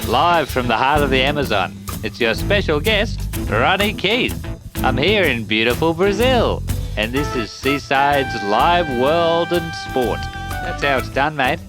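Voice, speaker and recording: A man's voice: mid-range voice, sing-song, a little slow, over music. A man playing a brash, boastful celebrity sports commentator in a comic radio sketch, blustering and slangy. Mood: showy, boastful.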